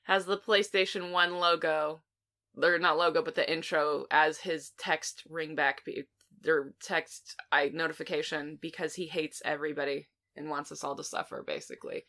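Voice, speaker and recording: Bright-voiced woman chatting about films. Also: deep voice, little pitch movement, moderate pace. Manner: informal, rambling, teasing. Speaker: podcast co-host. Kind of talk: casual film review chat with banter.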